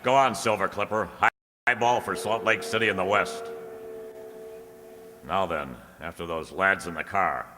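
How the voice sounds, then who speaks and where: A man playing a confident, heroic superhero in a radio drama, calm, commanding and thinking aloud. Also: deep voice, moderate pace, long pauses, close to an everyday voice. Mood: brisk, assured. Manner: self-assured, narrating own actions aloud. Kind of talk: old-time radio drama acting. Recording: reverberant recording with clear background noise.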